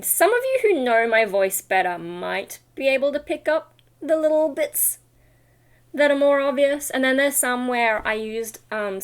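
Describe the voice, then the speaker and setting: A tired-sounding woman chatting in a casual, rambling vlog style, scattered and self-deprecating. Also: on the high side. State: tired, not fully awake. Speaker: vlogger and metal vocalist.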